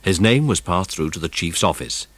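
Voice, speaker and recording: A man's voice: low voice, animated, moderate pace, a bit bright, no room sound. A man with a British accent narrating a children's audiobook as a scripted, dramatised story.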